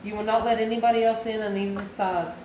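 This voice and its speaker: A woman's voice: medium pitch, steady tone, quick pace, a little mellow. Woman tarot reader in an echoey, noisy room. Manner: folksy, familiar, addresses listener as honey and baby. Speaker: tarot reader, channel host. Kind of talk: tarot reading addressed to viewers. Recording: echoey room with clear background noise.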